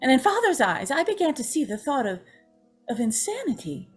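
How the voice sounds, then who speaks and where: An actress playing a frightened, gentle young woman, tormented and pleading, in old-time radio horror style. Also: sits high, big pitch swings, fairly quick, breathy edge, faint music behind, exaggerated voice. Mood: fearful, weary. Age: young adult. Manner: pleading, tremulous, dramatic.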